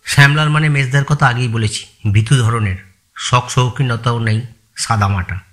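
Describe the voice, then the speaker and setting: A man's voice: medium pitch, normal speed, a bit bright, close to an everyday voice. An actor playing a loyal male family employee in an audio story, answering politely, hesitantly and with guarded deference. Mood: reflective, cautious. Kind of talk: audio story dramatised dialogue.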